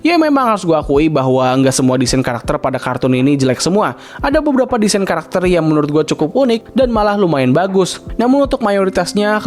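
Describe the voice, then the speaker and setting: A man narrates a scripted cartoon review in a loose, slangy way, talking right to his audience. His voice sits high and swings up and down with a lot of life. Soft music plays faintly underneath. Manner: casual slangy commentary addressing viewers. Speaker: cartoon review channel host.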